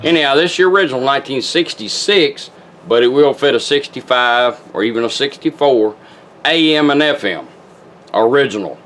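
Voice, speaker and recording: A man's voice: mid-range voice, expressive, normal speed, fairly bright tone. A man giving a casual, folksy hands-on product demonstration in plain words and short step-by-step instructions. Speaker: car radio restorer giving a product demonstration. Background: car radio playing news and music.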